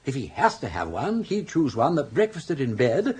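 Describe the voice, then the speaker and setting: An actor playing a jaunty, persuasive older English gentleman in a radio comedy, with an upper-class accent and a breezy, coaxing manner. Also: mid-range voice, huge pitch swings, normal speed, slightly rough, exaggerated voice. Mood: breezy, firm. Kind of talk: British radio comedy drama.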